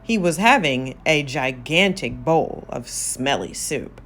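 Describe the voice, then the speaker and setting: A woman narrating a children's story aloud, expressive, with drawn-out emphasis on key words. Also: rumbling low. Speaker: storybook reader.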